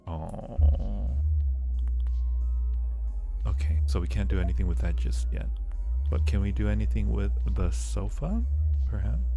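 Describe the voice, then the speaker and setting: A man's voice: lowish voice, dark tone. A man live streaming a game, musing aloud and repeating himself as he reads text and comments. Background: background music.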